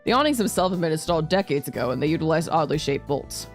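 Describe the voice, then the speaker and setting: Lively, lowish-voiced woman streaming a game. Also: quick pace, faint music behind. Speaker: VTuber streamer. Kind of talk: reading visual novel narration aloud with casual reactions.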